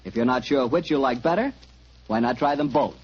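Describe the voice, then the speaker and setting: A man's voice: mid-range voice, a little fast, breathy edge. An American man announcing and selling on 1940s radio in an emphatic, salesmanlike style. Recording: dull 1940s radio recording.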